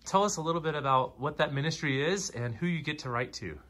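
A man's voice: a little high, fast talker, fairly bright tone. A man interviewing for a church, asking friendly, upbeat questions. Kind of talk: casual interview. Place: home, indoors.

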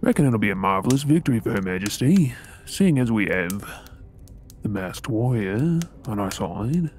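A man's voice: on the low side, sing-song, normal speed, darkish tone, slight background noise. A man with an Australian accent playing a resentful undercover soldier posing as a royal servant in an audio role-play, brooding in asides and formally deferential in address. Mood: polite, servile. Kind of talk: scripted ASMR audio role-play acting.

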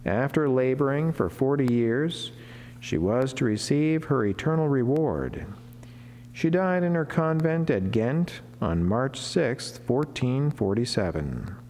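A man's voice: mid-range voice, big pitch swings, darkish tone. Man reading solemnly in a reverberant chapel. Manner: formal, measured delivery with deliberate pauses. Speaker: Franciscan friar giving a daily saint reflection. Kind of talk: solemn read sermon, devotional reading. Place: wood-panelled chapel, indoors, at a lectern. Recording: long church reverb, clear background noise, hum.

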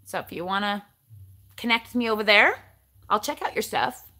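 Raspy-voiced woman in her forties. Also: mid-range voice, normal speed. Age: 40s. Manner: animated, ranty. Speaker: vlogger. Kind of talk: casual chat answering viewer comments. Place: bedroom at home, indoors. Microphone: camera close in front.

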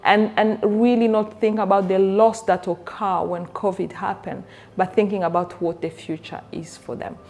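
A woman's voice: medium pitch, fairly even intonation, moderate pace, a little mellow. A middle-aged woman from Rwanda speaking earnestly to camera in an interview, repeating phrases for emphasis. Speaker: coffee company co-founder. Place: indoor coffee shop.

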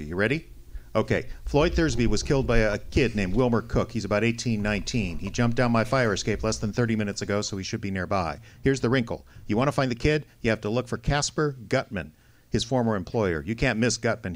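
An actor playing a tough, wry, unflappable private detective with clipped, sardonic delivery in an old-time radio drama. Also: male voice, lowish voice, animated, a little fast, natural voice. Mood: businesslike. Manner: clipped, hard-boiled, sardonic.

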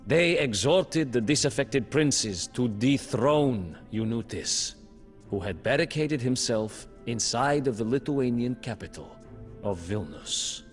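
Slow, grave male storybook narrator. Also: medium pitch. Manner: grave, measured storytelling with dramatic emphasis. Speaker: campaign narrator. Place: studio voice-over. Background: faint music score.

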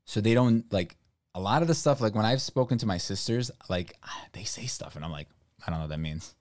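Very deep-voiced man chatting on a stream. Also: fairly quick, dry recording, studio quality. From Brazil. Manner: relaxed, rambling, filler-heavy talk. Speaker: stream host. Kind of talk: casual chat on a livestream. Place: home studio room, indoors. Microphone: studio mic on boom arm, close.

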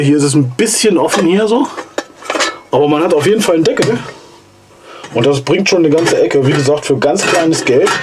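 A man in his forties, hoarse from a cold, casually and enthusiastically reviewing gear in a basement vlog. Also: a little high, background noise. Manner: enthusiastic, chatty, slangy. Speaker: outdoor gear channel host. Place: cluttered basement storage room, indoors. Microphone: camera mic from a few steps away.

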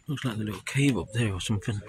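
Bloke doing an exploring vlog, chatty, bit of noise on the handheld mic. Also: male voice, lowish voice, very fast. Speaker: vlogger, urban explorer. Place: outdoors on streets and woods, later inside a tunnel. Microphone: handheld camera or phone at arm's length. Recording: noisy handheld recording on location.

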